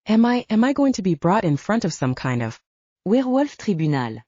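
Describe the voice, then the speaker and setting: A woman's voice: mid-range voice, very animated, a little fast, dark tone, dry recording, muffled recording. A woman narrator voicing a nervous, curious, wide-eyed young woman in an audiobook. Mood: nervous, uneasy.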